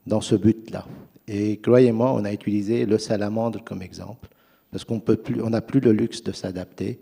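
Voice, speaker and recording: A man's voice: fairly low pitch, gravelly, mellow, husky. A man whose first language is not French, speaking earnestly and persuasively as a finance panelist at a conference.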